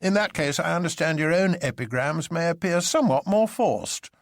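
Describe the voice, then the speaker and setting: A man's voice: on the high side, animated, moderate pace, no room sound, clean studio sound, pitched above the performer's own voice. A man voicing a ponderous, earnest professor in a storybook reading, grave and literal-minded.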